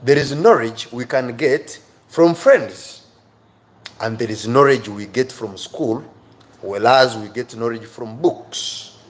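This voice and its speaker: A man's voice: medium pitch, takes it slowly. A man with an East African accent teaching a live online English class informally, emphatically repeating key words and phrases. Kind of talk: informal live-stream lesson, addressing viewers by name.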